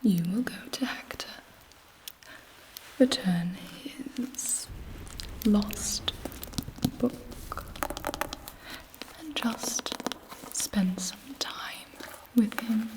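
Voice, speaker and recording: A woman playing an imperious, scheming, seductive vampire queen in an intimate ASMR roleplay, speaking in a deliberate, haughty whisper. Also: fairly high pitch, rough recording. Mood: coaxing, condescending.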